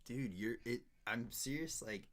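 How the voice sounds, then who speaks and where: A man's voice: medium pitch, a little slow, a bit bright. A man hosting a podcast, asking questions and reacting in an upbeat, eager way. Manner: upbeat, eager, lots of likes and yeahs. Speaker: podcast host. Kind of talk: casual interview chat.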